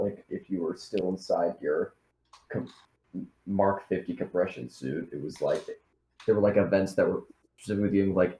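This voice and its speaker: A man's voice: sits low, dark tone, reverberant, poor audio. A man talking casually as a player in a tabletop roleplaying game.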